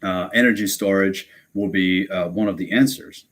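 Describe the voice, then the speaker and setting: Brazil-born male lecturer, very low voice, halting and filler-heavy. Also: steady tone, a bit bright. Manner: hesitant, many fillers and restarts. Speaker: guest lecturer. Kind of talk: academic webinar lecture.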